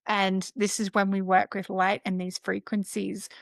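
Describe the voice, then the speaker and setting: A woman's voice: medium pitch, a little slow, creaky, dry recording. A woman podcast host, chatting and telling stories with enthusiasm. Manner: animated, enthusiastic storyteller. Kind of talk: casual interview chat.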